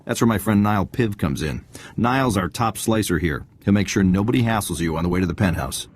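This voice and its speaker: An actor playing a confident, wry military intelligence agent giving a mission briefing in a video game. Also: male voice, low voice, lively intonation, fairly quick, slight background noise. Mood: assured briefing. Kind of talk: video game cutscene dialogue.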